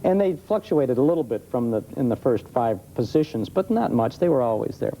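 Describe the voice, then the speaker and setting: Mellow, enthusiastic man in interview. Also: mid-range voice, lively intonation, fairly quick, creaky, poor audio. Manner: enthusiastic storytelling. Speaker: guest expert on old-time radio. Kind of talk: conversational interview. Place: television studio. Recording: old TV tape, faint noise.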